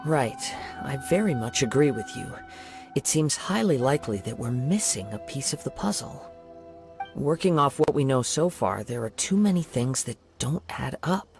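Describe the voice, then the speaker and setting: A man voicing a calm young wandering samurai in a video game, speaking in a measured, thoughtful way. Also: fairly high pitch, music in the background. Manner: measured, thoughtful and soft-spoken. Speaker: investigating hero.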